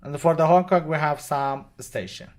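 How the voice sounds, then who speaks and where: A man who is not a native English speaker gives an unscripted step-by-step product tutorial in short, simple, halting phrases. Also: fairly high pitch. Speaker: product demonstrator. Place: indoors at a demo bench with devices.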